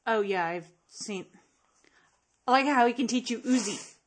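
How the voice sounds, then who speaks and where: A woman's voice: a little high, moderate pace. A woman chatting casually as a podcast host. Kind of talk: casual chat.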